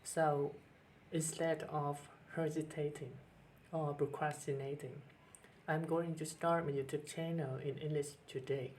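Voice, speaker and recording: A man's voice: a little high, level tone, a little slow, darkish tone. Vietnamese-accented guy, middle-aged teacher, hesitant and sincere, lots of uh's, faint background hum. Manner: hesitant, earnest, many fillers and restarts. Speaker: teacher and channel host. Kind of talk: personal talk to camera.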